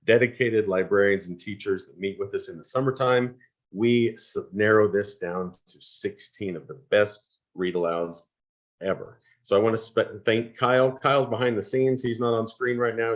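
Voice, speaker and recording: Low-voiced man hosting an awards event. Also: fairly even intonation, moderate pace, lo-fi sound. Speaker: award program host. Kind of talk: unscripted announcing at an online event.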